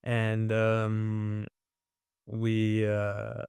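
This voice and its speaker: A man with French as his first language, who grew up in France, speaks with a smooth, resonant voice. He talks in a measured way, pausing on fillers as he tells his story. The sound is dry and close. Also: on the low side, completely flat delivery, darkish tone, dry recording. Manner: measured, many fillers and restarts. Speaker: guest, restaurant owner. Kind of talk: casual interview chat.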